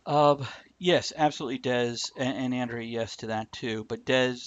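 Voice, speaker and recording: A man's voice: medium pitch, steady tone, normal speed, no echo. Guy hosting a livestream, kinda muffled lo-fi sound, rambles casually, blunt, swears and jokes. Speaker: live stream host. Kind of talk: casual rambling stream chat.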